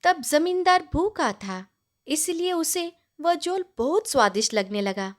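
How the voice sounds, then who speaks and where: A woman narrates a scripted folk-style tale as a storyteller. Her voice is fairly high, and she moves through each sentence at a slow, measured pace. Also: big pitch swings.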